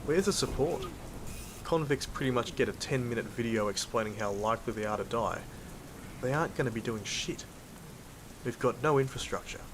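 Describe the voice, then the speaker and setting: A man acting as a gruff, world-weary cane toad hunter in an audio drama, with an Australian accent and a blunt, jaded manner. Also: medium pitch, normal speed, noisy background, lightly acted. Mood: blunt, angry. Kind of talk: first-person narration and dialogue in an audio drama.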